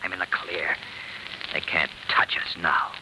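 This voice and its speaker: A man's voice: low voice, fairly even intonation, unhurried. An actor playing an anxious, evasive man with something to hide, in an old-time radio drama. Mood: relieved. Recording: noisy old radio recording.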